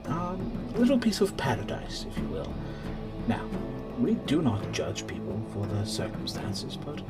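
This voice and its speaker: A controlling male leader of a survivor refuge in an audio drama. He speaks with theatrical courtesy and very varied intonation. Loud music runs underneath. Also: mid-range voice, wildly swooping pitch, unhurried. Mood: courteous, measured.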